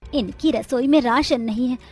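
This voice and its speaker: A woman's voice: sits high, normal speed, a little mellow, faint music behind, lightly acted. A woman playing an anxious mother-in-law from a poor village household in a dramatic cartoon. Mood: puzzled.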